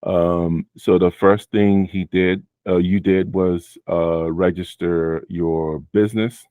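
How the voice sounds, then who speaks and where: Very deep-voiced man interviewing a student. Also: takes it slowly, dry recording. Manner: relaxed, many fillers, repeats guest's answers. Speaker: host and Amazon seller mentor. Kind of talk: casual video interview.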